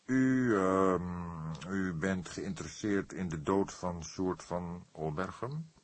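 A man voicing an old, wry, courteous police detective in an audiobook, speaking deliberately and with dry modesty. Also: low voice. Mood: probing, courteous. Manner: slow, deliberate, drily modest. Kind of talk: Dutch audiobook character voice.

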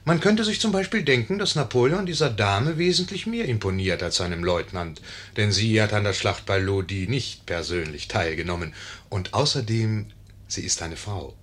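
An actor playing a wry storyteller who confides in the audience, narrating a 1950s German radio play. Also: male voice, on the low side, lively intonation, normal speed, fairly bright tone, husky. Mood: wry, confiding.

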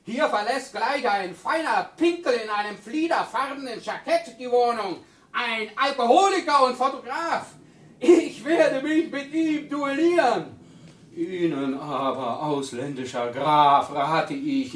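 A man's voice: high-pitched, expressive, measured pace, fairly bright tone. A man playing a rowdy, boastful, quarrelsome neighbour in a radio play, blustering with mock formality. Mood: mock-threatening. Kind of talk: German radio play acting. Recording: echoey room, distant from microphone.

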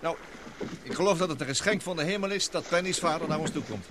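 An actor playing a humble, self-doubting man who speaks haltingly in a Dutch radio drama. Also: a little high, lightly acted. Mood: hesitant. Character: hesitant, self-doubting man confiding his unease. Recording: noisy old radio drama recording.

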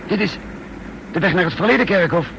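A man's voice: sits high, fairly quick, gravelly, a bit bright. A man playing a frightened, blustering captive who stammers out protests in a 1960s radio drama. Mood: nervous, puzzled. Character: threatened male captive, panicky, blustering, indignant. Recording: faintly noisy 1960s radio recording.

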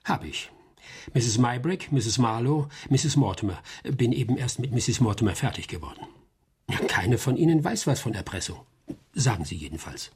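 An actor playing an informal, wry investigator in a radio drama, reporting in a casual, clipped manner. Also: male voice, medium pitch, expressive, moderate pace, breathy edge. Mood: wry, businesslike.

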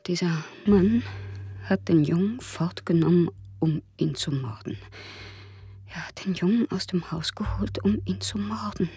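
A breathy, grief-numbed betrayed wife. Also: female voice, lowish voice, no echo, studio quality, exaggerated voice. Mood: stunned, accusing. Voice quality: breathy, husky, often whispering. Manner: halting, hushed, repeating herself in shock. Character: numb, grief-stricken, bitterly betrayed wife. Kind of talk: German radio drama acting.

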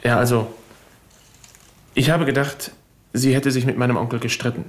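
An actor playing a cocky young bank clerk who speaks in a sardonic, insolent way in a 1970s radio drama. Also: male voice, mid-range voice, steady tone, normal speed, natural voice. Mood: guarded. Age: young adult.

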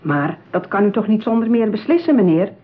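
A woman's voice: medium pitch, expressive, a little fast, lightly acted. An actress playing a formal, polite saleswoman at a marriage bureau, coaxing a customer in a radio play. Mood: polite, cautioning. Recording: muffled, narrow-band radio play recording.

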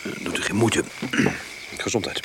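A man acting in a radio play as a polite, uneasy visitor, speaking haltingly and often repeating his words. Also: on the low side, close to an everyday voice. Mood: polite, hesitant. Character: polite, hesitant, uneasy male visitor from abroad. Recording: radio play with loud insect noise. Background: loud crickets, frogs and animal sounds.

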